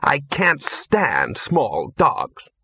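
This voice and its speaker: A man takes the part of a young woman in a storybook. The voice is lifted high and bright. She comes across as haughty, sharp and demanding, disdainful but quick to get flustered. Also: wildly swooping pitch, vocal fry, slightly rough, dry recording, full character voice. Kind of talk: audiobook character voice.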